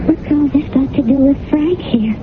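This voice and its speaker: Actress as an anxious high-voiced woman. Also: moderate pace, over loud music, lightly acted. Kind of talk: 1970s radio drama acting. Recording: muffled, noisy old radio broadcast.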